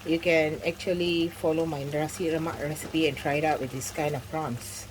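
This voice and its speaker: Low-voiced woman cooking in her kitchen. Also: steady tone, normal speed, noisy background. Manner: chatty and instructive, with frequent asides. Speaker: cooking channel host. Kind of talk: home cooking demonstration. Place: home kitchen.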